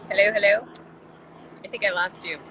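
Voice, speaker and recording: A woman's voice: mid-range voice, fairly even intonation, normal speed, noisy background. A woman hosting a podcast, asking her guest questions with short encouraging replies. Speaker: podcast host. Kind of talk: interview questions.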